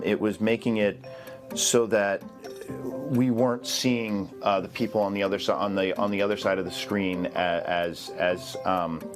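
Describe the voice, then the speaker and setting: A man giving a reflective interview as a project's co-creator, speaking in a thoughtful, halting way. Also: sits low. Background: music.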